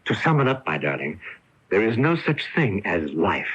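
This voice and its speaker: A man's voice: deep voice, sing-song, fairly bright tone, husky, exaggerated voice. An actor playing the ghost of a man who took his own life, an intense, possessive lover pleading theatrically in a radio mystery drama. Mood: solemn, coaxing. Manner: theatrical, halting, insistent delivery. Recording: muffled 1980 AM radio broadcast tape.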